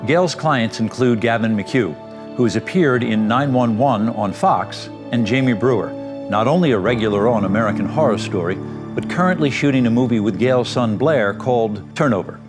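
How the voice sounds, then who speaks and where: A man's voice: medium pitch, expressive, normal speed, music in the background. A middle-aged man presenting a documentary piece, mixing narration with personal reflection as a parent advocate.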